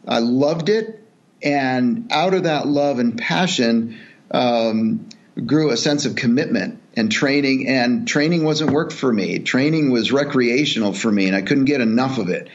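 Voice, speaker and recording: A middle-aged man from El Salvador tells his story earnestly and reflectively, pausing on fillers and restarting his sentences. His voice sits at an ordinary pitch for a man. The sound is a little dull and lo-fi. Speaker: podcast guest, charity executive. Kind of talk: relaxed storytelling interview.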